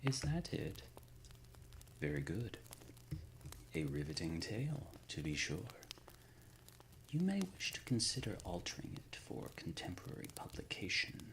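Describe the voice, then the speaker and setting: A man acts out an ancient, shrewd lord of the supernatural in an intimate ASMR roleplay. The voice is very deep and slow, yet swings expressively in pitch. The phrasing is formal and measured, with courteous authority and a soft, often near-whispered delivery. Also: big pitch swings, a little hiss or hum, heavily acted, poor audio. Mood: wry, approving. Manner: formal, measured, erudite wording with deliberate pauses.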